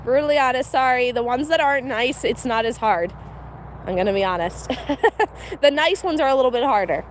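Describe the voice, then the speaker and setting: A woman's voice: fairly high pitch, brisk. A woman with a slight Pennsylvania accent, chatting casually and laughing as she livestreams chores with her cows outdoors. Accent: Pennsylvania, mixed American. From Pennsylvania, USA. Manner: chatty, laughs often, candid. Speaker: homestead livestreamer. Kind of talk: casual live commentary. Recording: faint outdoor noise.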